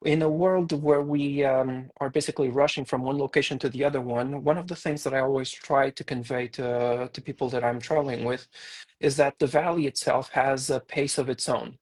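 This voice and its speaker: Portuguese-accented male tour guide, mid-pitched, relaxed podcast chatter, lots of ums. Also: normal speed, poor audio. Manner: hesitant, many fillers. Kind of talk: casual podcast conversation.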